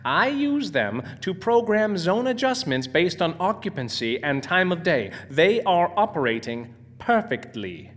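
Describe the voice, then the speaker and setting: A man's voice: sits high, very animated, a little slow, few pauses, a bit bright, heavily acted. A man playing an eccentric, boastful and cheerfully oblivious scientist in an audio drama, theatrical and precise. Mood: gleeful, oblivious.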